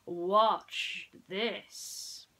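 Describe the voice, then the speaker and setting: A woman voicing a greedy, boastful and childish male prince in a storybook reading, with excited, shrill exclamations. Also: drawn-out words, exaggerated voice. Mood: gleeful boasting.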